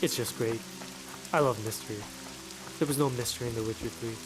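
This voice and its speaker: A man giving casual gameplay commentary, thinking out loud and reacting as he plays. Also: medium pitch, fairly quick, over music, rough recording. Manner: musing aloud, reacting to the game. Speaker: let's player.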